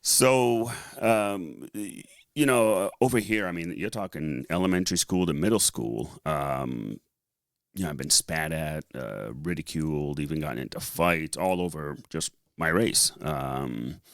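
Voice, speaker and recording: A man telling his personal story casually as a podcast co-host, halting often as he gathers his thoughts. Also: a bass voice, gravelly, no room sound, clean studio sound. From born in Liberia, later raised in the US, of Lebanese family. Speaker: podcast co-host and article writer.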